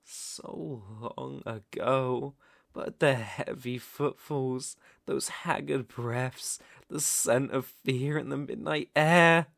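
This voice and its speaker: Man acting as ancient vampire count, British accent, unhurried, suave, teasing, predatory, formal, close dry ASMR roleplay. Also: mid-range voice, creaky, a bit bright, no echo. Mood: wistful, menacing. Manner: silky, theatrical, teasing and formal with lingering pauses. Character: centuries-old aristocratic male vampire lord, suave and predatory.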